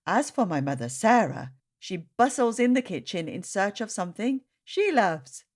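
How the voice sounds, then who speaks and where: A woman's voice: mid-range voice, sing-song, normal speed. A woman hosting a children's read-aloud, telling a story expressively and speaking directly to young listeners. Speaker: children's story reader and host.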